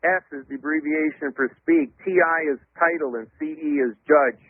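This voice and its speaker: A man speaking as an interview guest, explaining at length in an emphatic, didactic, loud voice. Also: a little high, fairly even intonation, moderate pace, dry recording. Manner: emphatic, didactic, spells out words letter by letter. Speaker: interview guest, legal theorist. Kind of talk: explanatory radio interview monologue. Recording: dull, lo-fi radio or phone sound.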